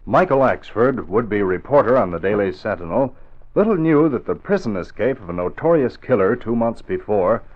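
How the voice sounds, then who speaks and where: An actor as a 1940s radio serial announcer with an American accent, narrating in a dramatic, declamatory way. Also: male voice, lowish voice. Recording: dull old radio broadcast recording.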